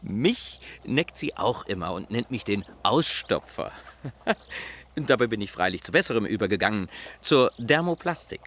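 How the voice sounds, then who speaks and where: An obliging museum craftsman, a man proud of his art. His delivery is genial and very animated in pitch. It is a radio play with clear ambience behind the voice. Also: normal speed, a little hiss or hum. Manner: animated, good-humoured.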